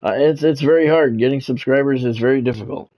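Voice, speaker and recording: A man's voice: medium pitch, quick pace, dull audio. A middle-aged man doing live sports game commentary like a radio sportscaster, chatting with viewers and repeating phrases for emphasis. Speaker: game streamer and play-by-play announcer.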